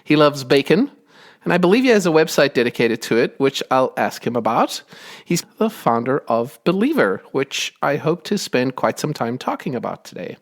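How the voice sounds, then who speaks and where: A man's voice: medium pitch, big pitch swings, normal speed. A man hosting a podcast, asking friendly, curious questions and often chuckling. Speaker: podcast host. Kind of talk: conversational interview.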